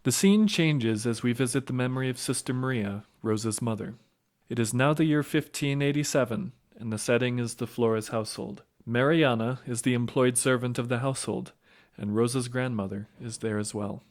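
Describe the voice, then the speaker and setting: A man narrating an audio drama from a script in a measured storytelling manner. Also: mid-range voice.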